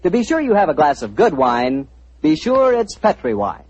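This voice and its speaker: Male announcer, hearty pitchman, slightly husky, lo-fi 1940s broadcast. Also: wildly swooping pitch, moderate pace, darkish tone. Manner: hearty, salesman-like. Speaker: announcer and show host. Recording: dull old radio recording.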